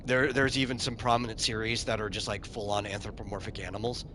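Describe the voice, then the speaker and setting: Bright-voiced male streamer chatting. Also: on the low side, level tone, fast talker, slight background noise. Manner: chatty, repeats words when starting, answers chat. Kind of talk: casual commentary while playing a game.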